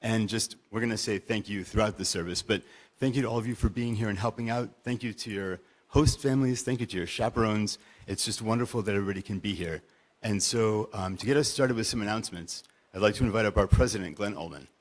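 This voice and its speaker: A man with a fairly low voice opens a service, greeting the congregation and calling for responses. His voice carries a long reverb through a big sanctuary. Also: fast. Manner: warm, upbeat call-and-response greeting. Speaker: rabbi leading a service. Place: large synagogue sanctuary.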